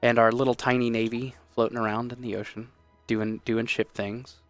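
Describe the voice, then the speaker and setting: A man's voice: mid-range voice, level tone, normal speed, dry recording, faint music behind. A man, chatting casually and playfully while co-hosting a strategy game stream from a small home room. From Texas, USA. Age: 30s. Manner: playful, hammy, breaks into loud exaggerated bits. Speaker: streamer co-host. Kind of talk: casual gameplay chat.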